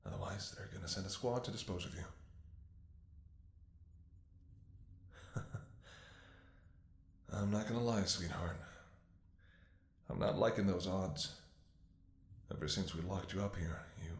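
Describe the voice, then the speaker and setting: A man playing a devoted, anxious doctor in an intimate audio roleplay, speaking to his sick lover in a tender, emotional, halting way. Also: on the low side, natural voice. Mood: bitter, worried, then rueful. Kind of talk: intimate scripted ASMR roleplay acting. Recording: echoey room.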